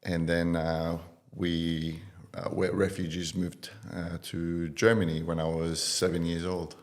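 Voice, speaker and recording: A man from Iraq in his thirties, a guest on a podcast, telling his life story in a relaxed way with many fillers. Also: sits low, measured pace. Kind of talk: casual interview talk.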